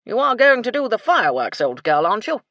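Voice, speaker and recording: Blustery old English colonel. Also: female voice, fairly high pitch, big pitch swings, fast, no room sound, lo-fi sound, pitched above the performer's own voice. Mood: insistent. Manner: clipped, blustery, old-fashioned upper-class phrases. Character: bluff, befuddled old English colonel, eager gentleman.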